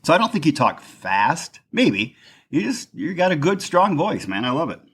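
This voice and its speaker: A man hosting a podcast interview, talking loudly and enthusiastically, often restarting his sentences. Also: fairly high pitch, big pitch swings, moderate pace, husky. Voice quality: loud. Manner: enthusiastic, rambling, self-interrupting. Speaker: podcast host. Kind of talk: casual interview chat. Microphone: podcast mic.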